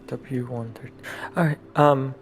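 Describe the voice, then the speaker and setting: A man playing a tabletop role-playing game, talking casually in character in a halting way. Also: mid-range voice, fairly even intonation, faint music behind.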